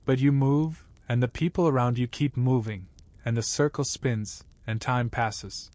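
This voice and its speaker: A man narrating a scripted bedtime story in a measured, wry way. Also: mid-range voice, steady tone, darkish tone, no room sound.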